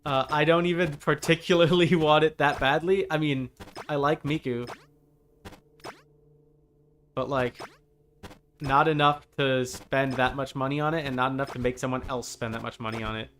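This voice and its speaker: A man with a mid-pitched voice talks casually as he streams a game. He rambles in a wry, joking way. Noise and clicking can be heard behind him. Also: steady tone, moderate pace, long pauses, a bit bright. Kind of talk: casual live-stream chat while gaming.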